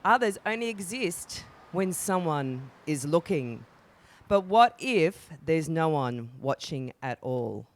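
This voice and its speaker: A woman's voice: deep voice, lively intonation, slow. A woman, chatting effusively as she presents an arts interview. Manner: effusive, enthusiastic.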